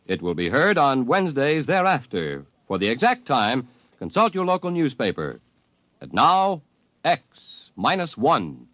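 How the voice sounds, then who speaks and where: A man's voice: mid-range voice, huge pitch swings, a little slow, fairly bright tone, a little hiss or hum. A man reading scripted network announcements in 1950s radio style.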